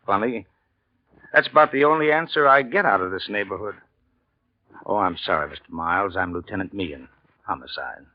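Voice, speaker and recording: A man's voice: sits low, animated, moderate pace, pauses often. An actor playing a persistent male police lieutenant, offhand and politely insistent, in 1940s radio drama style. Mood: probing, casual. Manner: offhand, hesitant probing, polite but insistent. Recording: dull 1940s radio recording.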